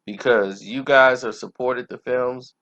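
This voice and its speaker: A man's voice: medium pitch, fairly even intonation, measured pace, fairly bright tone. A man hosting a casual movie review, talking informally and conversationally about his opinions. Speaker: movie reviewer, channel host. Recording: dull lo-fi sound.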